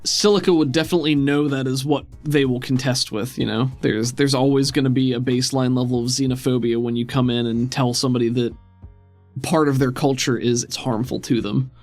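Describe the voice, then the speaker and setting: A man's voice: medium pitch, steady tone, fairly quick, faint music behind. A man running a tabletop game as game master, narrating and explaining rulings in a casual, conversational way.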